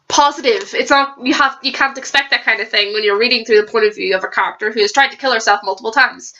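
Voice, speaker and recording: A young woman talks to her channel in a loose, rambling book review, stuffed up with a cold. Her voice sits a little higher than most and has a bright, sharp edge. She trips over words and restarts sentences freely. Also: muffled recording. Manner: rambling, chatty, with frequent restarts. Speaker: vlogger. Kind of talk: casual book review vlog.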